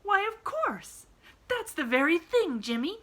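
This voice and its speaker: A woman voicing an eager, cheerful young girl who talks in breathless rushes. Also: on the high side, big pitch swings, pitched above the performer's own voice, exaggerated voice. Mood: excited. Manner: bright, breathless and chattering.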